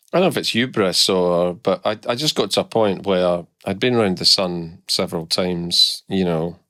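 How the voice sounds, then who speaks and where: A man's voice: deep voice, fairly bright tone, dry recording, clean studio sound. A middle-aged man from Scotland with a Scottish accent, a guest talking candidly and reflectively about his career, with hesitant restarts. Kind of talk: casual podcast interview.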